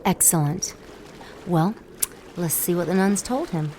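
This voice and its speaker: A woman's voice: fairly low pitch, unhurried, mellow, a little hiss or hum, natural voice, low-quality recording. An actress playing a scheming female demon, sly and flirtatious in an audio drama. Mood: plotting.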